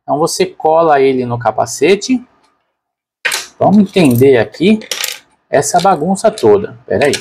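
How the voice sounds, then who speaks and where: A man's voice: on the high side, sing-song, normal speed, long pauses, darkish tone, a little hiss or hum. A man with a Brazilian Portuguese accent narrating a product unboxing casually and unscripted, informally thinking aloud as a reviewer.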